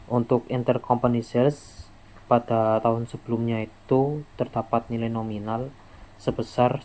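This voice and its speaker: A young man giving an explanatory class presentation in an informal, halting way, often repeating words. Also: mid-range voice, warm tone, rough recording. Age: young adult. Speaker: student presenter.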